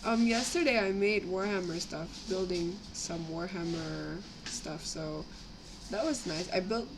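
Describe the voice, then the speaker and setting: Slightly raspy young woman, noisy background. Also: on the low side, a little slow. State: sick with a cough, itchy throat. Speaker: live streamer building model kits. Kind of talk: casual live stream chat. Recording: clear background noise, home livestream.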